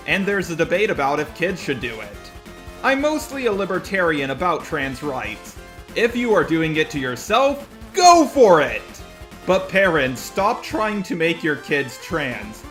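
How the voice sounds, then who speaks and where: Man with lively, higher-pitched voice. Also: measured pace, soft background music. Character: persona of a robot. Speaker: host. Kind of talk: scripted video commentary.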